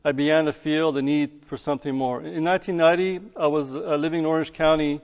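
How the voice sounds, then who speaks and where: A man from Iran sharing his conversion testimony with an audience, in a halting way full of fillers and restarts. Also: fairly high pitch, steady tone. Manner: halting, heavy with fillers and restarts, light chuckles. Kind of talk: personal testimony talk to an audience. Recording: echoey hall, dull lo-fi sound.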